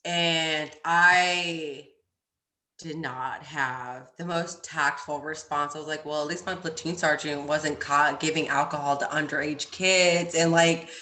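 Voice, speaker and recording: A woman's voice: deep voice, fairly even intonation, a little slow, bright, forceful tone, echoey room. A woman, an army staff sergeant and podcast guest, telling stories in casual, brash slang and acting out her retorts. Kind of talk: casual chat, storytelling.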